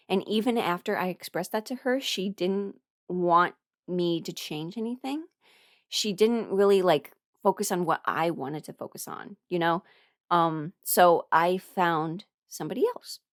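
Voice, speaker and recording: A woman talking casually and confidingly, giving personal advice in an informal, halting way and often correcting herself. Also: on the low side, no room sound, studio quality. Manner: informal, halting and self-correcting, chuckles while talking, mimics others in dialogue. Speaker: host, voice performer giving a personal ramble.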